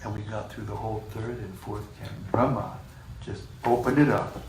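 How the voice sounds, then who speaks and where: A man leading a scripture class, reading passages in a measured way and joking with the group between them. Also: lowish voice, fairly even intonation. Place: room with a live group. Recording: echoey room, distant mic, faint hiss.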